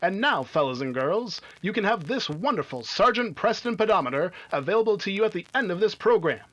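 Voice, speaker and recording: A man's voice: high voice, animated, brisk, a bit bright, low-quality recording. A man narrating and announcing in the scripted style of an old-time radio adventure serial.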